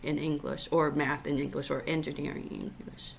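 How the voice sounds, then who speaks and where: A woman from Taiwan hosting a casual conversation over a video call, talking in halting bursts full of fillers and restarts. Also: low-pitched, level tone, gravelly, slight background noise. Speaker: podcast host.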